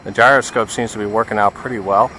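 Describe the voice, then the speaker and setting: A man's voice: fairly low pitch, fairly even intonation, fast, sharp tone, slight background noise, poor audio. A man giving casual running commentary outdoors as he pilots a radio-controlled plane, talking to himself in short remarks. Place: outdoors by water.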